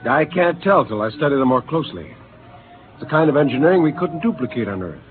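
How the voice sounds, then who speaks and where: A man's voice: fairly high pitch, lively intonation, fast talker, a little hiss or hum, close to an everyday voice. An actor playing an earnest expedition officer reporting grim news to his captain in a radio drama. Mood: grave. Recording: tinny old radio broadcast with music.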